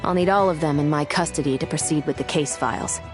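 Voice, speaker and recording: A woman playing a firm, low-voiced officer. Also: lightly acted. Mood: stern. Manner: formal, firm and measured. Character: composed female police officer, adult. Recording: game dialogue over loud music.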